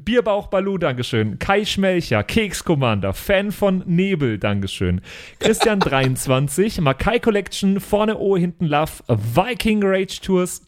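High-pitched man, playful podcast host. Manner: chuckling, playful. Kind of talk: casual thank-you shout-outs to supporters.

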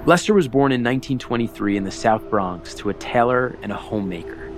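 A man narrating a historical documentary in a measured, solemn, scripted way with dramatic emphasis. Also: medium pitch, expressive, noisy background.

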